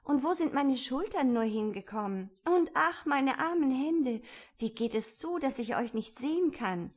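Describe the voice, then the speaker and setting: An earnest, truthful little girl, as a woman reading a storybook plays her. She sounds indignant and slightly unsure. The voice sits high and lively, with a husky, breathy edge. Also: a little slow. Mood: bewildered.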